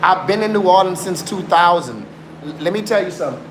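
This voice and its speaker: A man's voice: high voice, expressive, brisk. A man around forty, raised in South Africa, chatting insistently with viewers on a live stream and swearing to his story. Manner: insistent, swears oaths, mixes in Afrikaans. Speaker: livestreamer. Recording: roomy sound with background noise.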